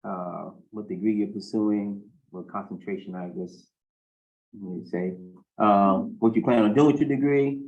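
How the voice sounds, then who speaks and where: Low-voiced male instructor on a tinny video call. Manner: brisk and informal, short prompts. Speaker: university instructor. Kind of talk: leading class introductions on a video call.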